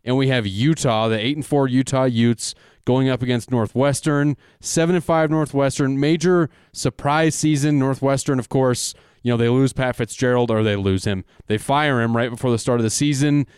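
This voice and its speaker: Co-host, man, playful bettor, smooth dry voice. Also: mid-range voice, normal speed, a little mellow, no echo. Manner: jokey, hypes with "baby". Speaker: podcast co-host. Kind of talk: casual sports betting chat.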